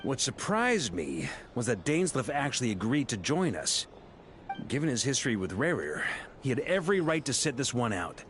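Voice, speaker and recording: A man's voice: mid-range voice, very animated, normal speed, a bit bright, slight background noise. A man voicing an upbeat, sincere comrade in a video game, speaking in an earnest, appreciative way. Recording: clear music behind the voice.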